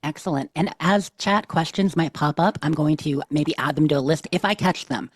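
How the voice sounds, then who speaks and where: A woman's voice: sits low, normal speed, husky, dry recording, studio quality. A woman casually moderating a panel, chatty and organized as she puts questions to the group.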